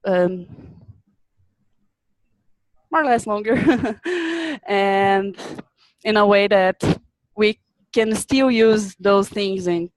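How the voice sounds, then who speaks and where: A young woman from Brazil, a business student, presenting a webinar in a halting way, often repeating words as she searches for them. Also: mid-range voice, dry recording, poor audio.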